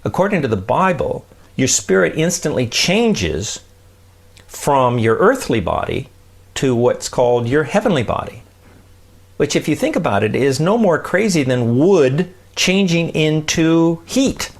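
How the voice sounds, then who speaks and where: Guy host explaining stuff, super animated pitch, creaky voice, folksy and emphatic, checks in a lot. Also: a little slow. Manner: emphatic, with rhetorical check-ins like "right?" and "okay?". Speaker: podcast host explaining science and faith. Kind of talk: conversational teaching talk.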